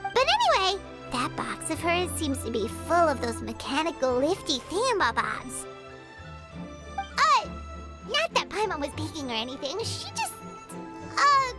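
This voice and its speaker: An actress voicing a tiny, chatty, cheeky female companion sprite in a game, in a squeaky childlike voice. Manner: chatty, teasing, quick asides. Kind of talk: game dialogue. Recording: game score playing under the voice.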